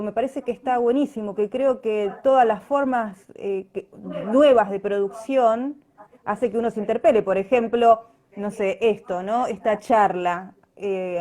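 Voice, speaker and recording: An Argentine woman talks as a guest in a casual online interview. Her voice has a middle pitch. She is conversational and often checks in with short tag questions. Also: moderate pace, a little mellow. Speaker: interview guest, radio drama actress. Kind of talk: casual live online chat. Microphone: online video call.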